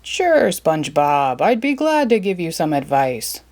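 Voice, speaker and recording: A woman voicing a gruff, money-minded middle-aged cartoon crab boss, speaking low and slowly. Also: very animated, darkish tone.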